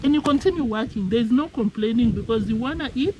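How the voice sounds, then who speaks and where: A woman's voice: fairly high pitch, expressive, fast, warm tone, a little hiss or hum. A woman chatting as a village vlogger, explaining her farm work as she goes and laughing often. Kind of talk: casual walk-and-talk vlog. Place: outdoor farm field in the rain.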